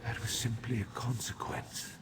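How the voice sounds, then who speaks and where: A man playing a weakened living god in a fantasy video game, solemn, weary and labored, with a gravelly voice. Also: medium pitch, steady tone, normal speed, in a whisper, natural voice. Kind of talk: video game dramatic acting.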